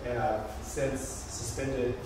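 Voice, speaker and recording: A man's voice: medium pitch, flat delivery, slow. A middle-aged man giving a casual, conversational introduction of himself as a candidate. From Oakland, California. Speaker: political candidate and business owner. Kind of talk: informal self-introduction speech. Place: small storefront meeting room, indoors. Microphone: distant camera mic. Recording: echoey room, far camera, noisy.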